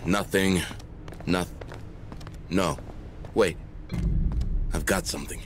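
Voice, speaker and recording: A man playing a terse, gruff space marine in a video game. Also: low-pitched, slow, background noise. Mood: grim, terse.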